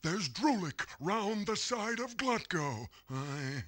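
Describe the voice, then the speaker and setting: A man's voice: high voice, big pitch swings, takes it slowly, fairly bright tone, heavily acted. A man voicing a helpful villager in a game cutscene, giving kindly warnings. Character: helpful male villager handing out a lantern.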